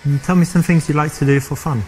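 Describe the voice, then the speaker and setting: A man with a softened London English accent, playing a chatty, relaxed character in a scripted dating conversation. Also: a little high, quick pace, faint music behind. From England. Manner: chatty, relaxed, with filler restarts. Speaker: player character in a dating game.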